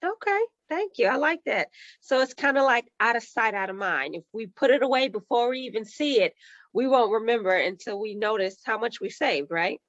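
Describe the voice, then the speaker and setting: A hoarse woman who manages client relationships, reading out viewer questions in a friendly, conversational way. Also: fairly high pitch, lively intonation, a little fast, fairly bright tone, no room sound, lo-fi sound.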